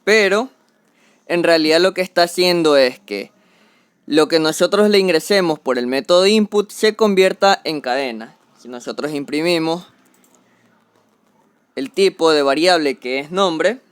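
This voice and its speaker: Clear young man teaching code. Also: on the high side, measured pace, dry recording. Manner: step-by-step explanatory, talks through each action. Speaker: programming tutor. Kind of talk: screen-recorded coding tutorial.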